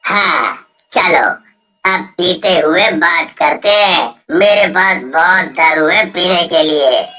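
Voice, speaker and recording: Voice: mid-range voice, slow, bright, forceful tone, soft background music, pitched below the performer's own voice. A voice actor playing a drunken male ghost in a cartoon who sits by a path drinking and coaxes passers-by to join him. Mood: jolly. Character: drunken male roadside ghost, jolly and inviting.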